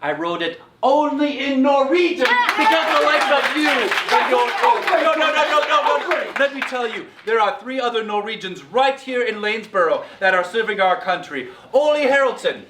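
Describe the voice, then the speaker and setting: A man's voice: very high-pitched, expressive, moderate pace, few pauses, noisy background. A man playing an indignant young war veteran who stammers and repeats himself on a live stage. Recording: echoey hall from far off.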